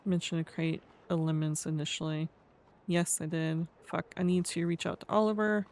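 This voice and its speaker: Tongue-tied streamer with a speech impediment, mid-pitched. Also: little pitch movement, moderate pace, darkish tone. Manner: tongue-tied, mumbly, stumbles over words. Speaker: streamer playing a roleplay character. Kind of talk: casual game livestream commentary, reading aloud.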